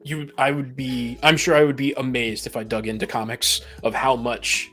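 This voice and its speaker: Male co-host, average pitch, wry and jokey in relaxed-format hobby chat, light music underneath. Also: normal speed. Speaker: podcast co-host. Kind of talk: casual discussion of tabletop roleplaying.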